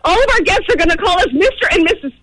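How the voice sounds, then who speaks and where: Exasperated female customer complaining by phone, very high voice, swinging emphatic delivery. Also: expressive, racing, a bit bright, rough recording. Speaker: prank call victim, customer.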